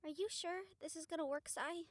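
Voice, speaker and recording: A woman's voice: very high voice, fairly even intonation, brisk, dry recording. A woman playing an anxious young magic user in an audio roleplay, nervous, questioning and emotional. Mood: uneasy. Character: anxious young female magic user, unsure of her powers.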